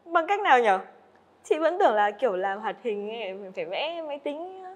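A woman's voice: on the high side, big pitch swings. A young woman hosting a casual interview podcast on a studio set, chatty and curious. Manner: chatty, curious, quick to react. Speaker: podcast host.

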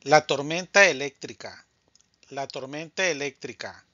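A man teaching Spanish vocabulary in a scripted drill, speaking slowly and deliberately and saying each answer twice. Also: fairly high pitch, pushed and bright, dry recording, lo-fi sound.

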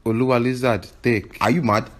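A man's voice: mid-range voice, fairly even intonation, a little fast, background noise. A man doing comic voiceovers for animals, stammering and switching character voices. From Africa.

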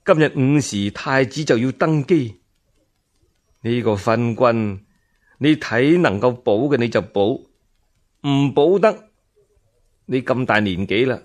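A worldly palace steward, voiced by a man, speaks Cantonese in a storytelling drama. He is cautious and confiding, advising in a measured voice. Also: mid-range voice, pitched below the performer's own voice.

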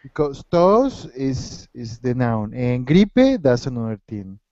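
A man's voice: mid-range voice, sing-song, takes it slowly, dark tone, no room sound. A man teaching a Spanish class over a video call, running a quiz game and mixing Spanish with English as he encourages his students. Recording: dull lo-fi video call audio.

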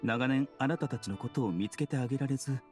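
A man playing a gentle, secretive doctor in a Japanese anime game, speaking with composed, formal courtesy. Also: medium pitch, fast, soft background music, natural voice. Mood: humble, soothing.

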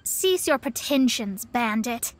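Haughty, high-voiced young astrologer. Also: female voice, lively intonation, takes it slowly, slight background noise. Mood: scornful. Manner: pompous, formal diction. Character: proud, haughty young female astrologer, formal and self-important. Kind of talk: anime-style video game acting. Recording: game music behind the voice.

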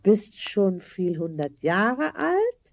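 A woman playing a worried, homely older mother in a 1970s fairy-tale audio drama, speaking in a halting, motherly way. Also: mid-range voice, big pitch swings, creaky, darkish tone, dry recording, heavily acted. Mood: reminiscing.

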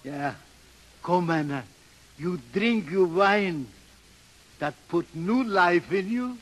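An actor playing a fond, superstitious old Swedish barge captain in broken English, in a 1930s radio drama. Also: male voice, high-pitched, animated, slow pace, heavily acted. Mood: fond, coaxing.

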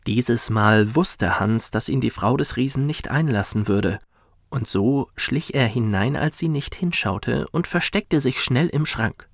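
Slow, expressive male bedtime storyteller. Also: medium pitch, no room sound, studio quality. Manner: gentle, expressive. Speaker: professional narrator. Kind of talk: scripted bedtime story narration for children.

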